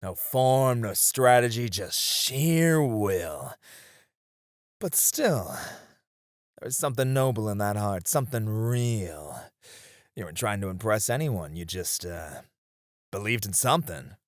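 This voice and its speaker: A man's voice: lowish voice, animated, slow, dry recording, studio quality. A man playing a seasoned knight, a wistful, teasing sparring comrade in a romantic roleplay, with reflective, hesitant phrasing. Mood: wistful, sincere. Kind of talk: romantic ASMR roleplay acting.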